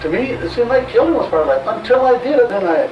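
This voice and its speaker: A man confessing in a recorded police interview, talking plainly and flatly with restarts. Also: extremely high pitch, fast, lo-fi sound, slight background noise. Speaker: confessing suspect. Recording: old interview tape, roomy and distant.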